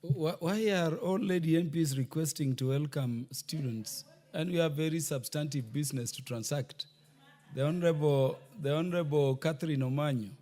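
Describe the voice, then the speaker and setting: A man's voice: fairly high pitch, normal speed, dark tone. A man chairing a parliamentary session, calling members and questioning them dryly and sternly. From Kenya. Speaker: parliamentary speaker. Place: parliament chamber. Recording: long reverb of a large chamber.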